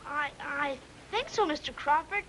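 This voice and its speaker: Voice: sits high, measured pace, sharp tone. A young performer playing an earnest, polite boy in a 1950s television western. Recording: noisy, distant old TV soundtrack.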